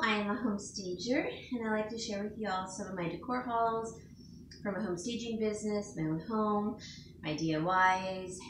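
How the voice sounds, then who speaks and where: A woman who works as a home stager, talking casually to her viewers in a rambling, chatty way, with a sniffle from allergies. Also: mid-range voice. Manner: rambling, chatty, with fillers and small laughs. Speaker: home stager and DIY vlogger. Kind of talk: casual chat to camera. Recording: echoey room, distant from mic, faint hiss.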